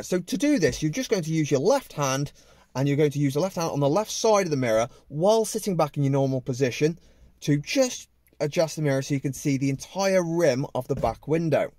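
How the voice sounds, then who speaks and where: A man's voice: on the high side, a little mellow, no room sound. A man in his thirties, a driving instructor, patiently explaining step by step from the driver's seat of a parked car. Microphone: camera on the dashboard.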